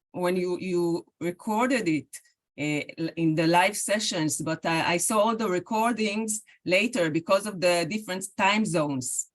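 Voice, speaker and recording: A woman with an Israeli accent, a lecturer giving an academic talk over a video call, speaking haltingly with many fillers and restarts. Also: medium pitch.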